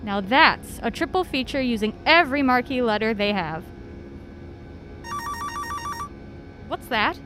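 An actress playing a practical, breezily unflappable traveler with brisk banter in a comic radio drama. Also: female voice, fairly high pitch, animated, lightly acted, poor audio. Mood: curious, breezy. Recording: clear vehicle noise behind.

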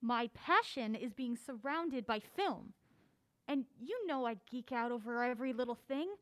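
A woman's voice: on the high side, animated, moderate pace, a bit bright. An actress playing a mild-mannered film-obsessed woman with dry, wry banter in a naturalistic audio drama. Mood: proud, passionate.

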